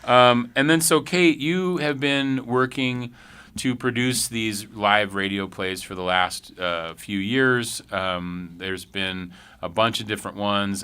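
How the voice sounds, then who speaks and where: A man hosts a casual radio interview in a low-pitched voice with a bright, forceful edge. He talks conversationally, as a host chatting with a guest. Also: clear, resonant tone.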